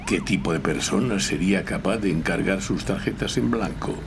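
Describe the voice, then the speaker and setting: Deep, gravelly male lawyer narrator. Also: normal speed, noisy background. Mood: dry, narrating. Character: dry, self-important male lawyer narrating his case. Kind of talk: noir-parody audio drama narration.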